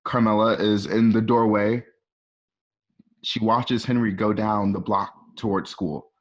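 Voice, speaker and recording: A man's voice: lowish voice, steady tone, a little slow, dull audio. A man reading stage directions for a radio drama in a conversational way, with casual asides. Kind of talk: informal scripted narration for radio drama.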